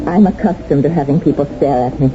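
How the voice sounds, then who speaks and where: A frank, pointed woman academic. She speaks directly in 1970s radio drama style. The recording is muffled, with clear noise behind her. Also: sits low. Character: scarred female Latin American literature professor, frank and vengeful. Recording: dull old radio recording, noisy background.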